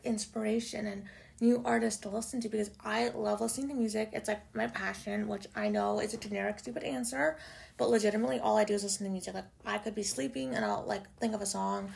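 A young woman from Canada with a low, raspy voice hosts a casual solo podcast, chatty and swearing freely, with lots of "like" and "y'all". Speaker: podcast host. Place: home room in Toronto.

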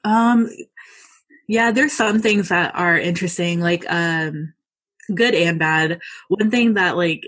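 A woman's voice: fairly low pitch, takes it slowly, a bit bright. A young American woman talking casually in an interview, full of likes and ums as she tells her story. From United States. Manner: chatty, lots of likes and ums.

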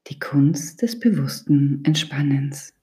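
Slow, low, softly breathy woman guiding meditation. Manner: calm, soothing, deliberate instruction with pauses. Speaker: guided meditation instructor. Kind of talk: guided yoga nidra meditation.

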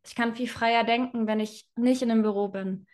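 A young woman who grew up bilingual, speaking as an interview guest in a relaxed, reflective way with frequent fillers and laughs. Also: on the high side, brisk. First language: bilingual German and Spanish. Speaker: guest, self-employed in personal branding. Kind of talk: casual interview conversation.

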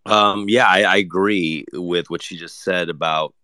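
Deep, flat-voiced man on a panel. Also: smooth, dry recording. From Argentina. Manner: casual, halting, many fillers and restarts. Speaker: panelist, rapper. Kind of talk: panel discussion.

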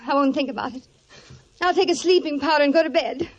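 An actress playing an anxious, secretive young wife with insistent melodramatic delivery in a 1950s radio drama. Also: female voice, sits high, quick pace, lo-fi sound, a little hiss or hum, lightly acted. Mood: panicked.

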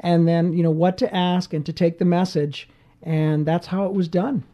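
A middle-aged man with a high but mellow voice, heard through a dull lo-fi recording. He speaks in a measured, halting way on a radio talk show and often restarts mid-phrase. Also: fairly even intonation. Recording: dull lo-fi sound.

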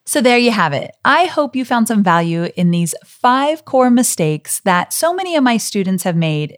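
A middle-aged woman hosting a solo podcast, talking straight to her listeners in an encouraging, friendly way. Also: medium pitch. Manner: warm, encouraging, direct address to listeners. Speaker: podcast host. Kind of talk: solo podcast teaching talk.

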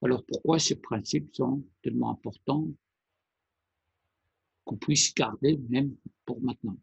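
Male presenter, measured and hesitant, husky low-energy delivery with a dry sound. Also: no echo, lo-fi sound. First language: Persian. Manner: deliberate, halting phrasing with pauses. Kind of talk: explanatory monologue.

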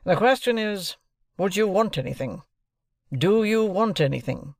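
A man's voice: sits high, animated, unhurried, creaky, dry recording, clean studio sound, voice pushed up, full character voice. A man voicing a brusque, stern middle-aged lawyer who speaks in curt, clipped, commanding sentences. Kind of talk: audiobook character voice.

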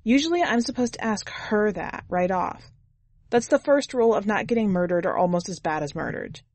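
A woman's voice: mid-range voice, expressive, fairly quick, a little mellow, no echo. A woman narrating in the first person as a jaded, blunt twenty-year-old drifter, wry and foul-mouthed, in an audiobook story.

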